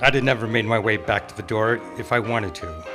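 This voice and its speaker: A man's voice: lowish voice, brisk, lightly acted. A man playing a world-weary, hard-boiled private eye narrating a noir radio drama. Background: loud music.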